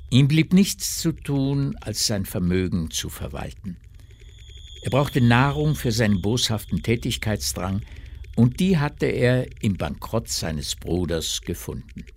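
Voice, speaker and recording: A man's voice: medium pitch, very animated, unhurried, vocal fry, darkish tone, slight background noise. A man narrating a literary radio drama from a script.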